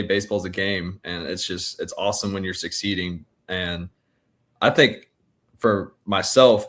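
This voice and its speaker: A man in his twenties with a fairly low voice, speaking as an athlete being interviewed. He talks in a relaxed, colloquial way, giving casual answers. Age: 20s. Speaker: college baseball pitcher being interviewed.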